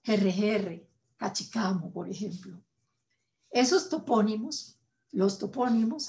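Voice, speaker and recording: A woman with a Colombian Spanish accent, hosting and delivering a formal scripted address in a measured, solemn way with deliberate pauses. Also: mid-range voice, a little mellow. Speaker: host.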